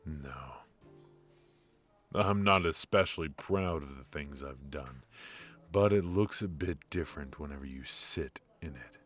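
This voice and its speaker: An actor playing a brooding, ruthless mafia boss in an intimate noir ASMR roleplay, speaking in a measured, weary, confiding way with heavy pauses. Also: male voice, extremely low pitch, fairly bright tone, soft background music, full character voice. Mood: resolute, reflective.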